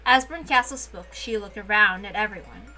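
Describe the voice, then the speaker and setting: A woman narrating a visual novel as an amateur read-aloud, expressive and informal. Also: mid-range voice, normal speed, soft background music. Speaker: narrator and reader.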